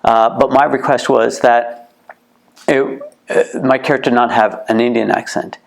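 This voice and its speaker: A man's voice: mid-range voice, flat delivery, measured pace, creaky, poor audio. A middle-aged man with a Canadian accent giving earnest interview answers and restarting sentences by repeating words. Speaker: museum co-founder, interview guest. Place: heritage museum.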